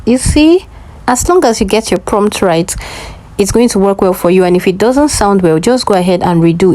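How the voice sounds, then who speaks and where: A woman's voice: mid-range voice, dark tone, poor audio. A woman presenting a casual step-by-step tutorial, instructing and often checking that the listener follows.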